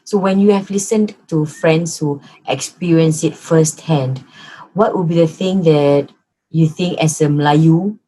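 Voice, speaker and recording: A woman with a Singaporean English accent and a touch of Malay hosting a casual interview, reflective in tone and quick to murmur agreement. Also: sits low, dark tone, rough recording. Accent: Singaporean English with Malay code-switching. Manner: reflective, with frequent affirming murmurs. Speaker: host.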